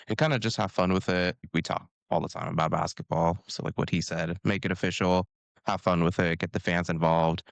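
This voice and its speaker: A man's voice: deep voice, gravelly, no echo. A man from Washington co-hosting a casual basketball podcast, laid-back and hesitant with lots of fillers. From Washington, United States. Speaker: podcast co-host. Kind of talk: casual sports podcast talk.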